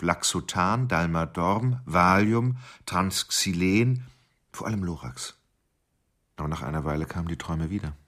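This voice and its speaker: A middle-aged murderer confesses in a radio drama, tormented and cold-blooded. His low, husky voice swings widely in pitch. He is obsessive and volatile, breaking into chants of single words. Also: a bit bright, exaggerated voice.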